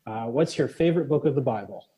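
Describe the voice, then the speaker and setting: A man's voice: medium pitch, expressive, quick pace, warm tone, husky. A Canadian man hosting an interview, asking friendly, playful questions. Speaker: host.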